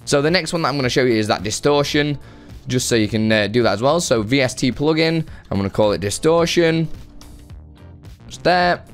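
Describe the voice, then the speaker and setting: A man in his thirties hosting a casual, chatty software tutorial at a close desk microphone indoors. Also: medium pitch, animated, fairly quick, clear, resonant tone, soft background music. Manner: chatty, informal. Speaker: tutorial host. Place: home room with guitars, indoors. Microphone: close desk microphone on boom arm.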